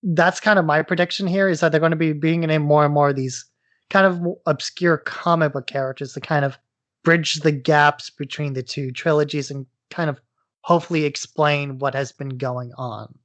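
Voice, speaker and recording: A man's voice: fairly high pitch, steady tone, moderate pace, a bit bright, no echo. A man co-hosting a podcast, talking through his views in a casual fan discussion. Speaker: podcast co-host.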